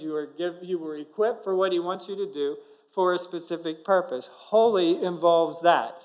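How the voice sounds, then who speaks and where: Middle-aged male pastor, high voice, earnest pulpit sermon with sudden loud emphasis. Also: poor audio. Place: church sanctuary behind a pulpit. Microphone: pulpit microphone, far camera.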